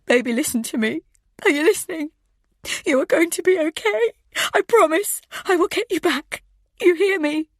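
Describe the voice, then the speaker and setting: Desperate mother as a woman reads her, sobbing, breathless, voice straining high, close dry recording. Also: normal speed, no room sound. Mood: pleading. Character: desperate young mother pleading for her son.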